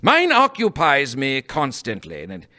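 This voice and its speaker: A man playing a grumbling, miserly old moneylender in a live radio play, gruff and scoffing. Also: medium pitch, wildly swooping pitch, measured pace, bright, forceful tone, exaggerated voice. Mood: scornful. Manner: gruff, scoffing, snapping out bits.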